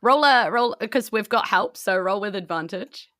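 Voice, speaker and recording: A woman with a New Zealand accent narrates a tabletop game as game master, improvising descriptions in short, halting phrases. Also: medium pitch, lively intonation, a bit bright, no echo, studio quality.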